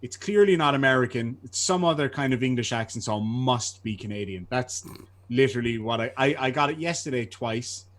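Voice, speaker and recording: A man with a mild southern Irish accent chats easily as a guest on a live stream. His voice sits at an ordinary middle pitch. He is self-deprecating and restarts his words often. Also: a little fast. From Ireland. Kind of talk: casual chat. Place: lives in Australia.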